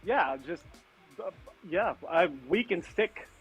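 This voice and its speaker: A man whose first language is Tagalog, a guest magician, telling a story on a video call in a sheepish, halting way with many fillers. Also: high-pitched, lively intonation, fairly bright tone, slightly rough, soft background music, rough recording.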